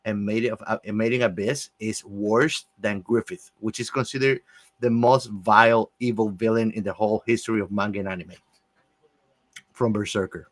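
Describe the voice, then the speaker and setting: A man's voice: on the low side, fairly even intonation, moderate pace, no room sound. A man co-hosting a podcast, chatting casually and informally with emphatic touches. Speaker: podcast co-host. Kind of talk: casual chat about anime and games.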